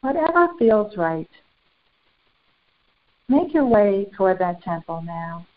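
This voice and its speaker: Slow, phone-muffled woman guiding a meditation. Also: medium pitch, big pitch swings. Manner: slow, deliberate, short phrases with long pauses; solemn, formal, ceremonial wording. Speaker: spiritual channeler and meditation guide. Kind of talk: guided meditation, channeled spiritual message. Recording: muffled, phone-like narrow band.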